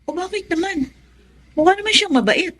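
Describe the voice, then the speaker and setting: Good-natured, trusting young wife. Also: female voice, very high voice, lively intonation, moderate pace, fairly bright tone. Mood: innocent curiosity. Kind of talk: Tagalog radio drama acting.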